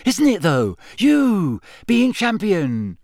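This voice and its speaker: A man voicing an eager, nervous young schoolboy with a squeaky voice and deferential manner, in an audiobook. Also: animated, normal speed, no room sound, clean studio sound. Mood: excited, admiring.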